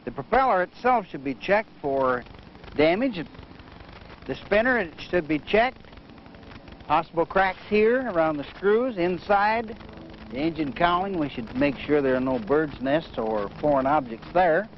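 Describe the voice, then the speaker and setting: A man giving methodical, measured instruction in the manner of a training film, as a flight safety instructor. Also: a little high, expressive. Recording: muffled noisy vintage training film soundtrack.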